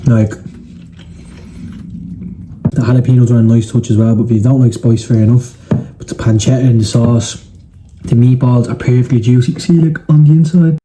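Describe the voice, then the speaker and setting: A man's voice: mid-range voice, fairly even intonation, normal speed, pauses often, background noise. A young Irish man hosting a cooking video, talking casually and bluntly with the odd swear. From Ireland. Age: young adult. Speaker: cooking channel host. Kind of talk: casual cooking vlog narration.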